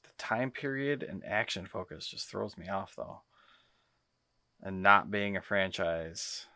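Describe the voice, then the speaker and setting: A man with a fairly low but bright voice, recorded dry. He talks slowly in a casual chat as one of a podcast's co-hosts. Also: animated, no room sound. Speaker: podcast co-host. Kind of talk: casual chat, guessing game among friends.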